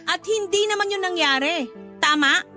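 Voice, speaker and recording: An actress playing an emotional, independent-minded young adult daughter in a Filipino radio drama. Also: female voice, very high-pitched, normal speed, pushed and bright, faint music behind. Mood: angry, defiant.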